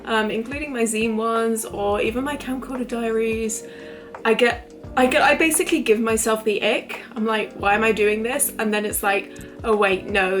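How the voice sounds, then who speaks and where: A woman in her twenties vlogging casually to camera, chatty and rambling, her voice a little croaky from illness. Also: fairly high pitch, a bit bright. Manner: chatty, rambling, lots of fillers. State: recovering from illness, voice a bit croaky. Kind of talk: casual talking-to-camera vlog.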